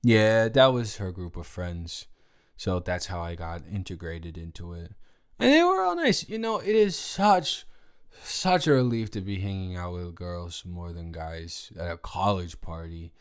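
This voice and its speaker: A man's voice: low-pitched, big pitch swings, a little slow, smooth, heavily acted. A young man playing a playful drunk college roommate in an intimate audio roleplay, rambling, slurring, joking and repeating himself. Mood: chatty, rambling. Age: young adult.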